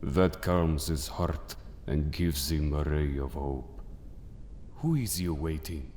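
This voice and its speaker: A man playing a grave, merciless mystic judge in an audio drama, speaking in an ominous, deliberate manner. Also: rumbling low, a little mellow, slight background noise. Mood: solemn, probing. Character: solemn, merciless mystic judge passing sentence on souls. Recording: long cavernous reverb with music behind. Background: music.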